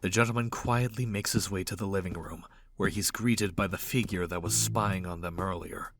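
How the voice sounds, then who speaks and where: A man's voice: low voice, moderate pace, a bit bright. A man reading scripted third-person narration as the storyteller of an audio drama.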